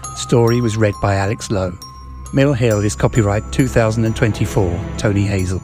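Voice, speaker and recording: A man's voice: on the low side, normal speed, music in the background. A man reading a scripted closing announcement as a credits announcer.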